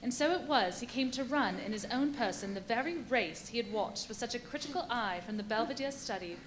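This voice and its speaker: A woman narrating a suspense tale in a live radio play with measured, formal literary delivery. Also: on the high side, lively intonation, close to an everyday voice, poor audio. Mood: measured, dramatic. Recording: live stage, faint noise.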